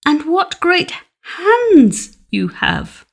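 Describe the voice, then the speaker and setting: A woman's voice: extremely high pitch, big pitch swings, drawn-out words, vocal fry, voice pushed up, heavily acted. A woman voicing an innocent, polite little girl in a storybook, sweet and full of naive wonder. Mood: amazed.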